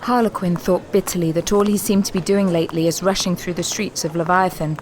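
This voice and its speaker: A woman's voice: lowish voice, fairly even intonation, fairly quick, noisy background. A woman delivering scripted, dramatic story narration for an audio drama. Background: prominent music.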